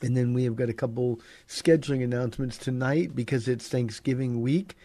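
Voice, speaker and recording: A male pastor hosts a call-in program from a radio studio, talking through scripture in an easygoing, conversational way. His tone is mellow and warm, pulled a little deeper than usual because he is under the weather. The sound is close and dry, with no room echo. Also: fairly even intonation, brisk. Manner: conversational, with frequent "uh" fillers and restarts. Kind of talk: relaxed Bible teaching and announcements.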